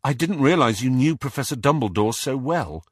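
A man's voice: mid-range voice, lively intonation, normal speed, dry recording, clean studio sound, voice pushed up, natural voice. A man voicing an earnest teenage boy wizard in an audiobook. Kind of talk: audiobook character voice.

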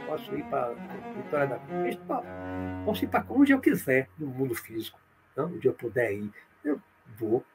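Animated man teaching. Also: a little high. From Brazil, born in Olinda, Pernambuco. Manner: explanatory, tag questions, reads comments aloud then answers. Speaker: spiritist teacher answering viewer comments. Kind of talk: live-stream talk reading and answering comments.

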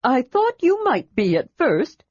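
A woman voicing an excitable, chatty young male kender with high, indignant outbursts in a storybook reading. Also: unhurried, breathy edge, no room sound, dull audio, full character voice.

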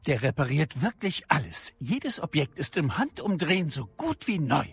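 A genial, eccentric spell teacher in an audio drama. His voice is strikingly husky and rather high for a man, swooping with theatrical courtesy. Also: sing-song, moderate pace, full character voice. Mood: genial, instructive. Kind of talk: German audio drama acting.

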